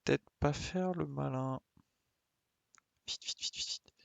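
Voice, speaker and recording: A man's voice: mid-range voice, slow pace, creaky, no echo. A man giving casual live game commentary, muttering his reactions to himself. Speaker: game streamer.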